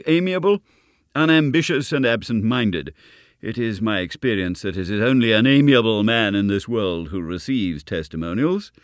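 Male reader doing a self-assured detective, precise, declamatory, wide-ranging pitch, dry sound. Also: no echo, close to an everyday voice. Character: brisk, confident male detective, sharp and lofty. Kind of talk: audiobook character reading.

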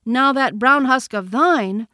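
Male reader as storybook wild boy: high-pitched, cheeky, earnest, protesting. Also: slow, pushed and bright, no echo, clean studio sound, heavily acted. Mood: earnest, innocent. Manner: indignant, explaining.

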